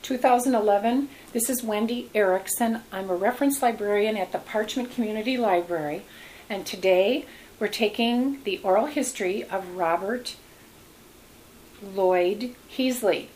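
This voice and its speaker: A woman's voice: medium pitch, normal speed, a little mellow, a little hiss or hum, poor audio. A woman who works as a reference librarian, conducting an oral history interview with short, attentive questions and affirmations.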